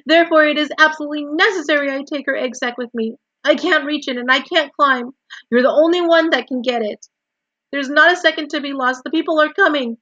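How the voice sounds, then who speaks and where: Woman voicing a frantic, high-pitched young pig. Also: fairly quick, pushed and bright, no room sound, lo-fi sound. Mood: urgent pleading. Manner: crying out, pleading. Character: young male pig, frantic, emotional, devoted to his friend.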